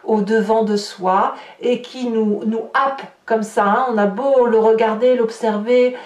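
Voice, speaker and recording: A woman with a fairly high voice gives an improvised tarot reading. She speaks haltingly, feeling for her words and restarting phrases. The recording is roomy and echoing, with her voice some distance from the mic. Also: steady tone, a little mellow. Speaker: tarot card reader. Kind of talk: unscripted tarot reading, guidance monologue.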